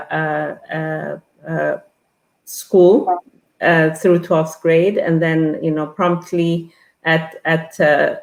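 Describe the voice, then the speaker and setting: A woman in her fifties from Ethiopia, speaking as a guest in a casual online interview and pausing often with fillers and restarts. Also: low-pitched, a little mellow, echoey room. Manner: halting, many fillers and restarts.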